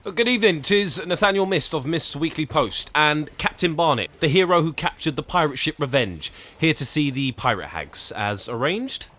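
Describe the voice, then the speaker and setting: An actor playing a glib, excitable eighteenth-century newspaperman in an audio drama, wry and easily flustered. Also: on the high side, moderate pace, few pauses, noisy background. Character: eager, wry, excitable 18th-century journalist and publisher. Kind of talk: period audio drama acting.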